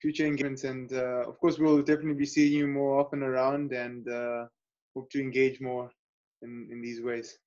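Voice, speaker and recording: A man's voice: mid-range voice, level tone, brisk, a little mellow. A young man hosting an online open mic over a video call, talking casually with many restarts and fillers. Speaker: host. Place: indoor room. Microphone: laptop webcam on video call. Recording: dull lo-fi video call audio.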